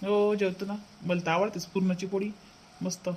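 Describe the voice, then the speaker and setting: A man's voice: high voice, level tone, normal speed, lightly acted. A man voicing a contented village husband in a family cartoon, with some noise in the recording. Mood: pleased.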